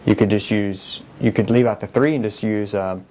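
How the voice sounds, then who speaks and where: A man giving a casual guitar lesson, explaining chord progressions and transposition in a relaxed, conversational way while he plays. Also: fairly low pitch, normal speed, slight background noise. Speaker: guitar teacher. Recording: loud guitar under the voice. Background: guitar played by the speaker.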